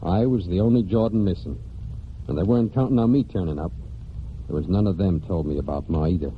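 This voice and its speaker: An American actor playing a disgraced, brooding prodigal son who narrates in halting, clipped phrases in a 1940s radio drama. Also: male voice, low voice, a little hiss or hum, natural voice. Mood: wistful, bitter. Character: disgraced prodigal son of a hard New England family, brooding. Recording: dull 1949 radio recording, music behind.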